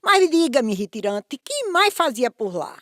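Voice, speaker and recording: An actress with a Brazilian accent playing a shrewd, questioning village woman in an animated verse drama. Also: sits high, a little slow, a little hiss or hum, heavily acted. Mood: probing, dismissive.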